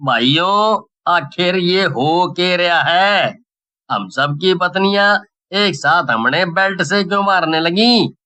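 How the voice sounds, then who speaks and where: Casting: male actor for indignant henpecked villager, high-pitched, unhurried, dramatic pleading, animated tale. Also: clean tone, heavily acted. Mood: bewildered. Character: exasperated village husband beaten by his wife. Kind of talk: Hindi animated story dialogue.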